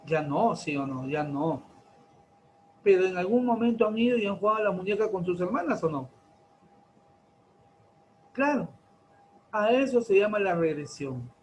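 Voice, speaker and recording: A man, lecturing teenagers in class, slow and deliberate, stretching key terms and asking many rhetorical questions. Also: high-pitched, animated, slight background noise, rough recording. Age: 40s. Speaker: teacher.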